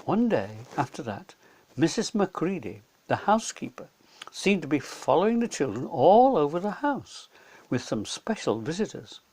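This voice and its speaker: A man narrates a children's story from a script. His pitch moves around a great deal as he reads, giving the storytelling an animated shape. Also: moderate pace, husky. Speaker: storyteller, reader.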